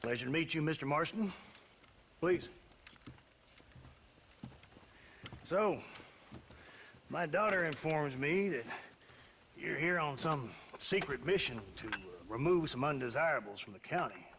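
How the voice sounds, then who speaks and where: A man's voice: fairly high pitch, lively intonation, moderate pace, fairly bright tone, a little hiss or hum. An actor playing a stern, opinionated older American rancher and father in a western video game, speaking in a folksy, weighty, speechifying way. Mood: cordial, proud. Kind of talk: video game cutscene acting.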